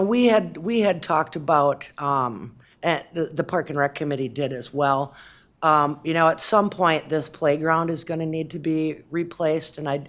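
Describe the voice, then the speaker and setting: A woman on city staff answering council questions at a meeting, speaking with frequent pauses and restarts. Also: low voice. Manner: hesitant, many fillers and restarts. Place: council chamber.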